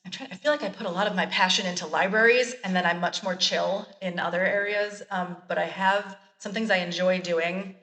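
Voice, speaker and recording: A chatty, self-deprecating woman in her thirties talks casually in a slightly low voice. She laughs easily. She sits back from the mic in an echoey room. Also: steady tone, brisk, few pauses, pushed and bright, far from the mic. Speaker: college librarian, guest. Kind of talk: casual interview chat.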